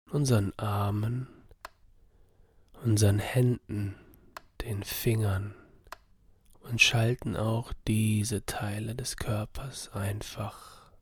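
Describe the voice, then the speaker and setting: A man softly narrating a guided body-scan meditation for sleep, soothing and half-whispered, with a breathy voice. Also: fairly low pitch, level tone, slow pace, pauses often.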